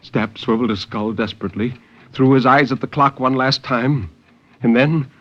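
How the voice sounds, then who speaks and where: A man giving a dramatic, deliberate and intense reading of suspense fiction in 1950s radio style. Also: mid-range voice, steady tone, a bit bright. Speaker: story narrator. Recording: muffled, noisy 1950s radio recording.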